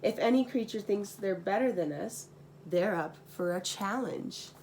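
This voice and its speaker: A woman's voice: medium pitch, measured pace, a little mellow, slight background noise, close to an everyday voice, poor audio. A young woman voicing boastful, mocking fish friends in a children's storybook, with a sneering, sing-song delivery. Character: boastful, mocking clique of sea creature friends.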